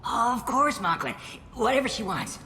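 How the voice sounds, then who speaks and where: Oily alien scavenger: servile, ingratiating game character in a reverberant space. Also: male voice, very high-pitched, very animated, moderate pace, pushed and bright, heavily acted. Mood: nervous, servile. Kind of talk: video game voice acting. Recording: echoey space, faint noise.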